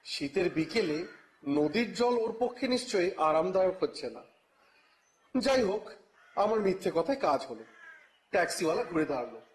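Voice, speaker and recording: A man narrating a story in the first person, with expressive, theatrical phrasing and a scripted dramatic style. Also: high-pitched. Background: music.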